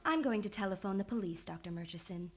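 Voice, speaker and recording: Woman acting as smart young lady psychoanalyst in a radio play, medium pitch, calm, measured, sure of herself. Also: a little hiss or hum, lightly acted. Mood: steely composure. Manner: measured, assured, matter-of-fact. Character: composed, shrewd young female psychoanalyst confronting a killer. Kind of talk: old-time radio drama acting.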